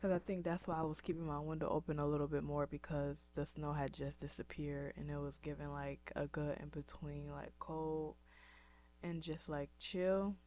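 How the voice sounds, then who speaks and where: A woman's voice: low voice, level tone, moderate pace, mellow. A young woman from upstate New York talking to camera in a casual vlog, her voice scratchy and dry, rambling with many ums and likes. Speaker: vlogger. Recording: dull lo-fi recording.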